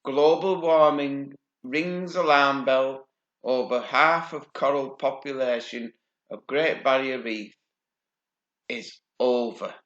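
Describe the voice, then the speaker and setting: Man, slow and sardonic, reading news with dry commentary; echoey, lo-fi. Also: medium pitch, a bit bright. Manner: dry, sardonic, swears casually. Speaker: channel host.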